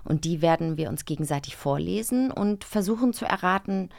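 Casting: female co-host, slightly low register, informal chatty delivery, close dry recording. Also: expressive, a little fast, creaky, a little mellow, no echo. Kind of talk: casual chat.

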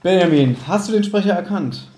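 A man chats as a podcast co-host in a slightly high voice. He is animated and drops into playful mock quotations. The room gives his voice an audible echo. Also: fairly quick. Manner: animated, acts out mock quotes in put-on voices. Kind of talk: casual chat reviewing an audio drama.

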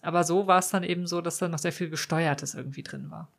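A man's voice: a little high, fairly even intonation, fast talker, gravelly, a bit bright. Man, podcast guest, author, casual explanation, analytical.

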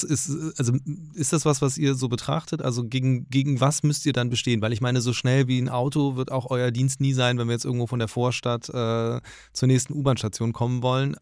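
A man hosting an interview podcast, his voice a little gravelly at a middle pitch. He builds his questions slowly, searching for words with plenty of fillers. The recording is dry and close. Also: darkish tone, no room sound. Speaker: podcast host.

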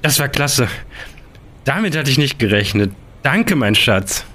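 Actor as easygoing fiancé, audio drama. Animated intonation, average male pitch, slight background noise. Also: unhurried, heavily acted. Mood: delighted. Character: grateful, easygoing fiancé on a trip.

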